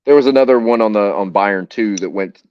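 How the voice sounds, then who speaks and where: Dude on a podcast, cussing a lot, kinda low voice, dry dull audio. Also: male voice, fairly quick, a little mellow, dry recording. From United States. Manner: loose and profane, frequent fillers. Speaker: podcast host. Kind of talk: casual chat.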